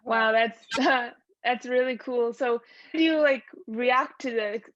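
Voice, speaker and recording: A woman's voice: a little high, a little slow, a bit bright, dry recording. A woman co-hosting an interview show, asking questions casually with lots of "like" fillers. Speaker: co-host. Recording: dull, dry lo-fi sound.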